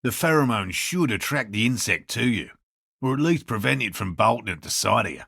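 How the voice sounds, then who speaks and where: Gravelly, earnest eccentric cryptozoologist. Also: male voice, mid-range voice, expressive, fairly quick, a bit bright, no echo, clean studio sound, exaggerated voice. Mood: explaining, scholarly. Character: earnest eccentric male cryptozoologist scientist, obsessive but courteous. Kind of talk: video game dialogue voice lines.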